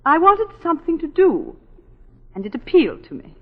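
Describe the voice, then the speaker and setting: A woman's voice: high voice, huge pitch swings, moderate pace. An actress playing a cool, poised, enigmatic accused woman, coy and guarded, in a 1940s radio drama. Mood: guarded, challenging. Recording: dull 1940s radio recording.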